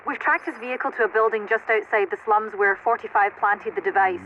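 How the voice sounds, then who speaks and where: An actress playing an efficient military operations officer, giving precise, businesslike briefings in a video game. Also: female voice, mid-range voice, fairly even intonation, brisk, lightly acted. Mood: focused, formal. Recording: dull radio-like sound, noisy background.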